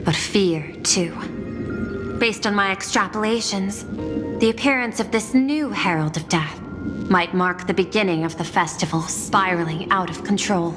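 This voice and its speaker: A woman's voice: medium pitch, lightly acted. A woman acting a composed, calculating, machine-minded corporate chief in a video game, speaking formally and with cool precision. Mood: grave, composed. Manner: formal, measured, coolly precise. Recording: long hall reverb, music behind.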